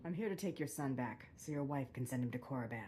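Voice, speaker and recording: A woman's voice: very deep voice, fast, slight background noise. An actress playing a cool, curt, unbothered female bounty hunter in a video game. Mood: cool, deadpan. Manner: deadpan, unbothered.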